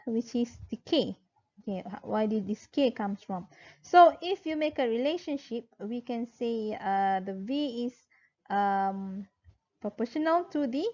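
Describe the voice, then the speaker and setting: A woman lecturer with a Malaysian accent and a fairly high voice explains material informally, step by step. She speaks haltingly and circles back over key points. The recording is somewhat dull and lo-fi. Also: darkish tone. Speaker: university lecturer.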